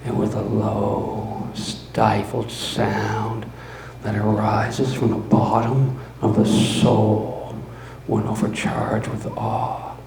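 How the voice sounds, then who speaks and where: A man voicing a mad, obsessive murderer confessing his crime in a dramatic gothic horror reading, halting and theatrical, moving between breathy whispers and loud outbursts. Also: fairly low pitch, flat delivery, full character voice. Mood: brooding, haunted. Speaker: storyteller. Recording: roomy live recording with faint noise.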